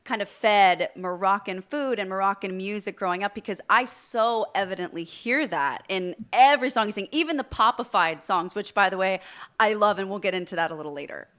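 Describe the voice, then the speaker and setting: A woman's voice: medium pitch, brisk, a bit bright. A woman vocal coach interviewing a singer, asking questions and explaining her musical analysis with enthusiasm.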